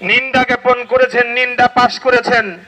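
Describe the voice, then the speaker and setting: A man's voice: very high-pitched, fairly even intonation, moderate pace, a crowd in the background, low-quality recording. A Bangladeshi man giving an impassioned religious protest speech as a cleric, declamatory and exhorting, with rhetorical questions and repetition. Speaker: Islamic cleric addressing a protest rally.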